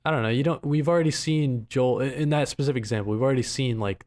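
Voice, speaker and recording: Man, medium pitch, podcast co-host, casual, rambling, slangy. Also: fast talker, darkish tone. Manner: rambling, slangy, self-deprecating. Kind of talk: casual chat.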